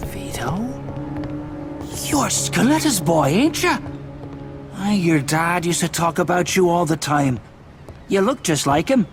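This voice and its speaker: Earnest older dockworker, widely swinging pitch. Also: male voice, very animated, measured pace, pauses often, over music. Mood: earnest, accusing. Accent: American. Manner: earnest, placating. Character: pleading, peaceable older male dockworker.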